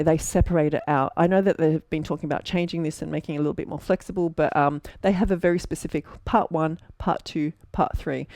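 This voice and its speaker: An Australian woman in her forties tells her story to the camera in a loose, chatty way, full of fillers and restarts. Her voice sits a little low. The sound is close and dry, with no room echo. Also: darkish tone. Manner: chatty, with fillers and self-corrections. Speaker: architect and mentor.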